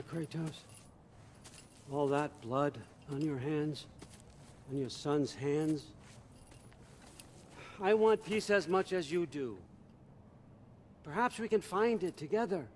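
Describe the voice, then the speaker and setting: Scheming god villain, unhurried, higher male pitch, mocking, theatrical, game cutscene. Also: animated, a little mellow, slight background noise. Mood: coaxing. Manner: deliberate, theatrical, needling. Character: smooth-talking manipulative god, mocking and grandiose. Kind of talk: cinematic video game acting.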